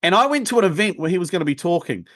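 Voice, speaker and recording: A man's voice: high voice, lively intonation, very fast, a bit bright, no echo, clean studio sound. Brash joking New Zealand man. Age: 40s. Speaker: guest, sales trainer. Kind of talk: casual interview chat.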